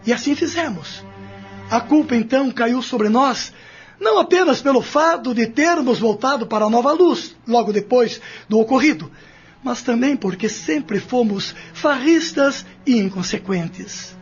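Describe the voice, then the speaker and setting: A man's voice: very high-pitched, animated, measured pace, dull audio, faint music behind. A man acting a distraught young wastrel who confesses tearfully in a courtroom audio drama. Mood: tearful, anguished. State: crying.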